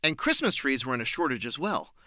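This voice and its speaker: A man speaks as an interview guest in a bright, forward voice at a middling pitch. His answers sound prepared and explanatory, with articulate, measured phrasing. The sound is very dry, with no room on it. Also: sing-song, no room sound.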